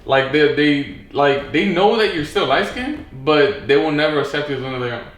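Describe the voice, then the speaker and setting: A man's voice: a little high, lively intonation, normal speed, a bit bright. A man hosting a podcast, talking bluntly and casually, swearing freely and calling his guest "bro". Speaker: podcast host. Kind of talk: casual chat. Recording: roomy with faint noise.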